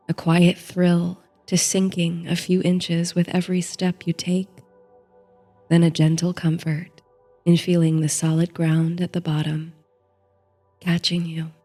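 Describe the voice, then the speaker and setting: A woman narrates a sleep story like a guided meditation, in a soft, soothing, unhurried voice that turns breathy at the ends of phrases. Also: on the low side, flat delivery. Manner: slow, soothing, unhurried delivery. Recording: soft music under the voice. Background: soft music.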